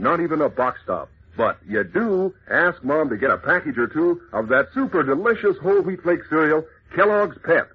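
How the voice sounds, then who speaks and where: A man's voice: a little high, husky. A man delivering a folksy, chummy 1940s radio commercial aimed at children. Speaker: radio advertising pitchman. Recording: thin, phone-like old radio sound.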